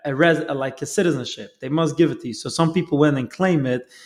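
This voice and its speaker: A man's voice: on the high side, fast. A man hosting an interview, talking in an earnest, reflective way and often searching for his words. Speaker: host. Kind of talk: casual conversation.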